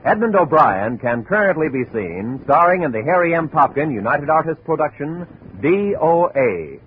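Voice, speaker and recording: A man's voice: fairly high pitch, a little hiss or hum. A man with an American accent announcing in the formal style of 1950s radio. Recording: phone-like old radio, faint music.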